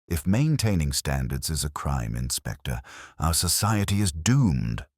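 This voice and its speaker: A man's voice: a bass voice, sing-song, a little slow, darkish tone, dry recording, clean studio sound, full character voice. A man voicing a prim, self-important committee woman in a mystery story, with a pompous, formal and stiffly dignified manner. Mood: indignant.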